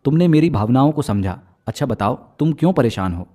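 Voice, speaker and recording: A man voicing a gentle, fatherly talking magical tree in a children's story. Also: mid-range voice, lively intonation, brisk, natural voice. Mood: gentle, reassuring. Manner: reassuring, fatherly address. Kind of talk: children's moral story acting.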